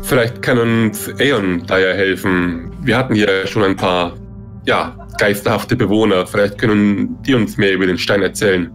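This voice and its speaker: A man's voice: fairly low pitch, normal speed, faint music behind. A man playing a tabletop role-playing game, talking casually as he describes his character's actions.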